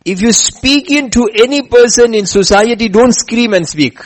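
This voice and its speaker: A man giving a religious lecture who tells a story and slows down to stress his points. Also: very high-pitched. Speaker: preacher. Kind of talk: religious lecture with chanted scripture.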